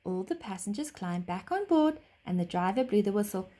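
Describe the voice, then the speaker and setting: A woman narrating a children's story expressively, like a teacher reading aloud, with dramatic, deliberate phrasing. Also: mid-range voice, big pitch swings, very noisy.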